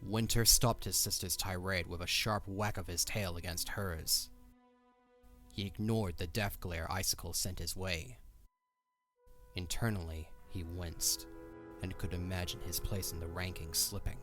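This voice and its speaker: A man's voice: low voice, steady tone, a little slow, over music. A man narrating a scripted fantasy story as the narrator of an audio drama.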